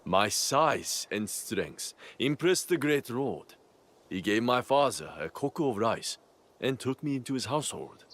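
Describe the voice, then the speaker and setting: An actor playing a big, strong, humble and friendly retainer in a video game, speaking deliberately in short weighty phrases. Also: male voice, mid-range voice, sing-song, a bit bright. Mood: reminiscing. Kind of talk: video game cutscene acting.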